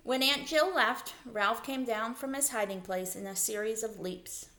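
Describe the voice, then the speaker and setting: A woman reading a children's story aloud as a teacher, in expressive narration. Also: fairly high pitch, measured pace.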